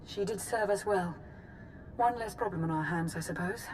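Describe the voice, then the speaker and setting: A woman's voice: mid-range voice, normal speed, background noise, natural voice. An actress playing a pragmatic female officer in a video game, businesslike and dry. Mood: cool, pragmatic.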